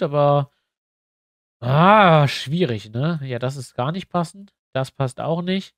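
A man's voice: medium pitch, no room sound, studio quality. A man with a stuffy nose, chatting casually to his viewers as he thinks aloud through building a map in a tutorial. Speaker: YouTuber building a tabletop map. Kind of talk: casual screen-recorded tutorial.

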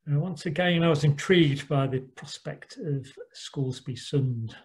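A middle-aged man gives a laid-back talk about a voyage over an online call. His voice sits a little high, and he pads it with ums and quiet, dry asides. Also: moderate pace. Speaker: yacht club speaker. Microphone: online call microphone.

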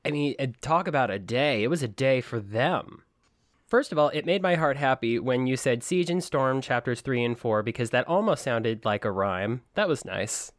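A man's voice: medium pitch, animated, moderate pace, no room sound, studio quality. A man co-hosting a book-club podcast in casual, joking chat. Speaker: podcast co-host.

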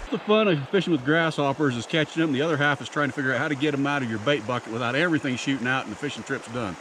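A man's voice: medium pitch, noisy background. A middle-aged American man chatting casually and folksily to camera, as an outdoor fishing host. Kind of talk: casual explaining and chat to camera. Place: outdoors by a creek and meadow.

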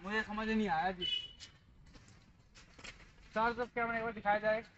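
A man's voice: high-pitched, fairly even intonation, normal speed, highs cut off, background noise. A young man from India chatting playfully to viewers while trying out outdoor gym machines in a park, recorded on a handheld phone. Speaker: vlogger. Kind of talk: casual vlog chat. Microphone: handheld phone camera, moving around.

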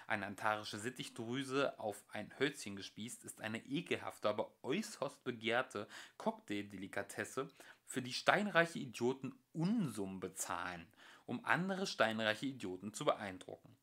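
Lively young man reading an audiobook. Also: fairly low pitch, moderate pace. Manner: lively, expressive storytelling. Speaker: audiobook reader. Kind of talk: home-made audiobook narration. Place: home recording.

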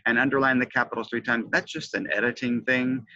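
A middle-aged man presenting an instructional lesson, stressing key words with deliberate, emphatic enunciation into a headset. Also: mid-range voice, steady tone, bright, forceful tone, no echo, highs cut off. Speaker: teacher trainer presenting a lesson. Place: indoors, webcam presentation.

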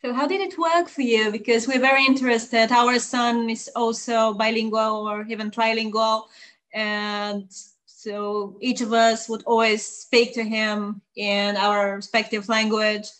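Woman, co-host, video call, curious, friendly questions, slightly high voice. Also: little pitch movement, unhurried, fairly bright tone, rough recording. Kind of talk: casual video-call interview.

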